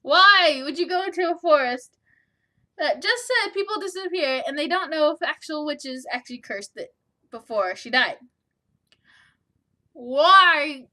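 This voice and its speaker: High, bright-voiced woman reading a game. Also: expressive, unhurried, long pauses. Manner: wry, opinionated asides. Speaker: let's play host. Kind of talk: reading a visual novel aloud with casual asides.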